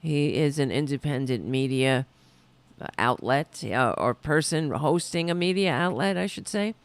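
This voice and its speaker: A woman hosting her own liberal talk show, chatting loosely and correcting herself as she goes, a little hoarse. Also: low voice, animated, measured pace, no echo. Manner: rambling, self-correcting, chatty. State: losing her voice. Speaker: podcast host. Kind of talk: casual political talk show.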